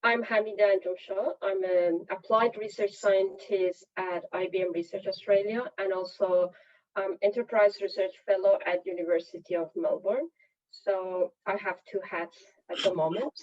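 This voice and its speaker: Brief: female scientist panellist, medium pitch, sounding away from the mic with dulled audio. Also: steady tone, moderate pace. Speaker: panellist, research scientist, mathematician. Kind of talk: self-introduction on a webinar. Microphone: video call. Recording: distant, dull video-call audio.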